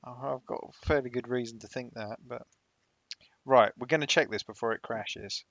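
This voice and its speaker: Gravelly Sunderland man, joking. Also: mid-range voice, fairly even intonation, moderate pace, fairly bright tone, dry recording, dull audio. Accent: Sunderland English. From Sunderland, England. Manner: blunt, joking, repeats himself. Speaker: streamer hosting a geography guessing game. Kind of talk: casual banter while playing.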